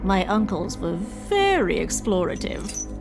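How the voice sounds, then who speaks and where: A woman's voice: mid-range voice, big pitch swings, vocal fry. Regal, defiant captive empress played by a woman, music behind. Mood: defiant, solemn. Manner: regal, dry, measured taunts. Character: proud, defiant, composed imprisoned empress. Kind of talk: sci-fi fantasy audio drama acting.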